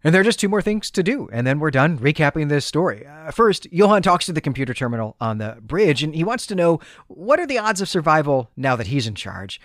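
A man's voice: on the high side, very animated, a little fast, hardly any pauses, no room sound, studio quality. A man hosting a podcast, recapping a story in a conversational, explanatory way with wry asides. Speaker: podcast host.

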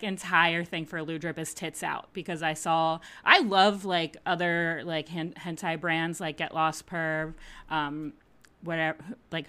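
Blunt woman with bright, lowish voice. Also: clean tone. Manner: blunt, profane slang. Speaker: illustrator and small brand owner on a podcast. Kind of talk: casual chat.

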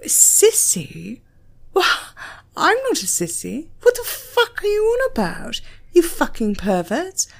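Woman voicing an anxious British young man, slow and bewildered. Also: very high-pitched, huge pitch swings, vocal fry, voice pushed up, heavily acted. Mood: indignant. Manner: hesitant, incredulous. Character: anxious, bewildered young male university student.

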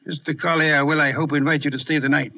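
Casting: pompous older master detective, fairly high voice, ornate and commanding, muffled old radio drama sound. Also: male voice, level tone, quick pace. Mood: imperious. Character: pompous, imperious older male detective genius, drily amused. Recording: dull 1950s radio recording.

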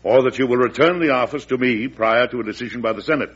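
An actor playing a beleaguered, resolute middle-aged American president in a classic radio drama, speaking formally and earnestly with an American accent. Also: male voice, mid-range voice, fast, breathy edge, close to an everyday voice. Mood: firm, pressing. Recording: muffled old radio recording.

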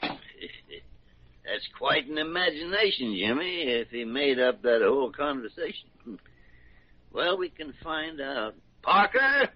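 An actor playing a gruff, sarcastic, bossy senior doctor in a 1940s-style radio drama. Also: male voice, a little high, very animated, slow, long pauses, slight background noise, full character voice. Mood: amused, excited. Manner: sarcastic, bossy, old-fashioned exclamations. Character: gruff, blustery, sharp-tongued senior male doctor. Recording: dull, lo-fi vintage radio recording.

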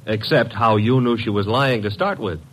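A man's voice: lowish voice, moderate pace, fairly bright tone. An actor playing a wisecracking, brash detective's legman in an old radio drama, wry and colloquial. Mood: wry, amused. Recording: noisy vintage radio drama recording.

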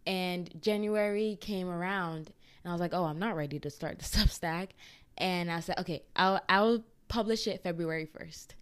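A young woman hosting her own podcast, talking in a chatty, excitable way with lots of fillers. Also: lowish voice, smooth. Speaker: podcast host. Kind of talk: casual solo chat.

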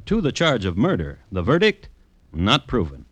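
An actor playing an urbane, droll crime connoisseur who narrates old murders in a deliberate 1950s radio style. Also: male voice, lowish voice, huge pitch swings, fairly bright tone, husky, no room sound, full character voice. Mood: dry, deliberate. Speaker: host and narrator.

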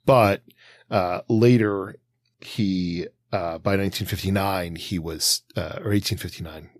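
A man with a fairly low voice gives an informal history explanation as a podcast co-host. He proceeds haltingly, with frequent hesitations, and the sound is dry with little room on it. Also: fairly even intonation, no echo.

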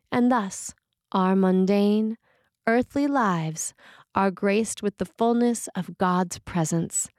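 A woman preacher reading a written sermon in a measured, reflective and earnest way. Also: mid-range voice, a little mellow, no room sound, studio quality.

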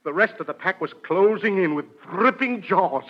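A blustering middle-aged uncle in an old radio comedy. His voice sits high, and he talks in florid, self-important phrases. The recording is dull vintage broadcast audio. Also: expressive, moderate pace. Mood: wounded, boastful. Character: pompous, touchy, boastful middle-aged uncle. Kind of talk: 1940s radio comedy acting.

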